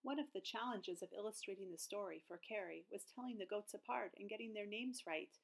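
A middle-aged woman author presenting to an online audience in a measured, storytelling manner. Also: mid-range voice, creaky. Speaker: children's book author.

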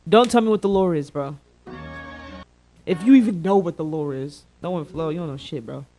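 Young man, high-pitched voice, casual livestream commentary, slangy, bantering, faint music underneath. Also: normal speed, dark tone, low-quality recording. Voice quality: slangy, informal speech. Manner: playful, bantering with chat. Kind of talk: casual reaction stream commentary. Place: indoor room at a streaming setup. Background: faint background music.

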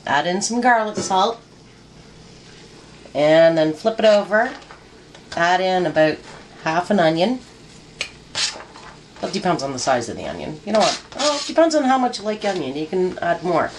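A woman's voice: lowish voice, big pitch swings, normal speed, long pauses, a little hiss or hum. A middle-aged woman walking through a recipe in her home kitchen, chatty and joking, with plenty of ums. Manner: chatty and joking, rambling with fillers. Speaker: home cook demonstrating a recipe. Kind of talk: casual cooking demo. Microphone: handheld camera mic nearby. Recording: home video with kitchen clatter. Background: pans and cutlery clatter.

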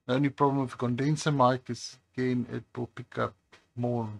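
A man with a low voice talking slowly and casually at his workbench, with many pauses and hesitations. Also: vocal fry. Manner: slow, relaxed, with many hesitations. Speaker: phone repair channel host. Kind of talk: casual talk to camera while working. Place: workbench by a highway.

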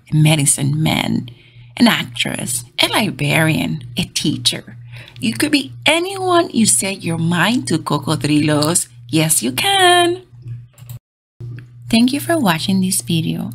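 A woman reading aloud to young children as a storybook host, in a gentle, encouraging, sing-song manner. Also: on the low side, creaky.

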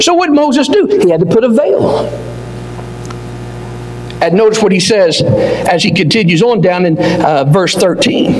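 An older man preaching a sermon from a pulpit, swinging from near-shouts down to low, slow words. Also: a little hiss or hum. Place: church auditorium. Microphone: pulpit mic, wide far camera. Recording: echoey hall with long reverb.

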